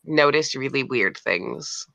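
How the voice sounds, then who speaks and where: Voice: mid-range voice, animated, slow, pushed and bright, dry recording. A person in casual chat, hesitant and full of "like" fillers.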